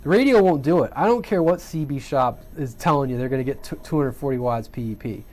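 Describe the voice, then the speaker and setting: A man's voice: medium pitch, animated, fast talker, darkish tone. A man giving a casual, rambling tutorial at his home radio workbench, often correcting himself and checking in with "right?". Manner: rambling, self-correcting, conversational with frequent "right?". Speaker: CB radio hobbyist demonstrating equipment.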